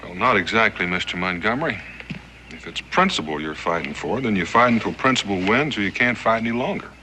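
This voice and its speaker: Homespun frontier hero male actor, low voice, measured and wry, noisy vintage TV western sound. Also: lively intonation, close to an everyday voice. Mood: homespun wisdom. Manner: homespun, measured, dryly humorous. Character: easygoing, wise frontiersman and father. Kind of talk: TV western acting.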